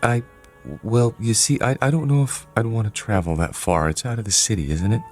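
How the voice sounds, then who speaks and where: An actor playing a timid, evasive grown son who speaks politely and hesitantly in an old television drama. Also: male voice, lowish voice, a little fast, breathy edge, faint music behind. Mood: apologetic, uneasy. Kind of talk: mid-century television drama acting.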